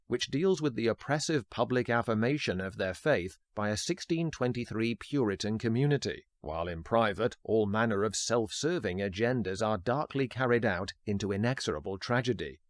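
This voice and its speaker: A man hosting a podcast, reading a scripted film history narration in a measured, formal way. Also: lowish voice, dry recording, clean studio sound. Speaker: podcast host.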